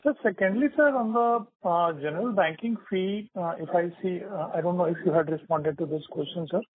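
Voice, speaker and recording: A man calling in as an analyst, asking questions in a deferential way with frequent pauses. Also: high-pitched, no echo. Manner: deferential, often says sir. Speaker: analyst caller. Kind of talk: earnings call questioning. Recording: tinny phone line.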